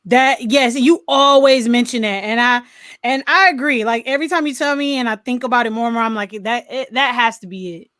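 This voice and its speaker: A high-pitched American woman, recorded close and dry, chats as a podcast co-host. Her talk is casual and slangy, full of easy banter. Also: fairly quick, a bit bright, no echo. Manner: laughs often, slangy banter. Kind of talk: casual movie-podcast chat.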